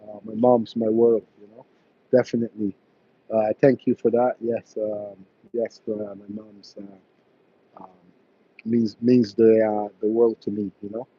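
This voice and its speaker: A man from Barbados runs a casual live stream, chatting hesitantly with viewers and pausing on many ums and uhs. His voice is low and flat, with little rise and fall, and the lo-fi stream audio sounds dull. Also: husky, no room sound. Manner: hesitant, many fillers and restarts. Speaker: electrician hosting a live stream.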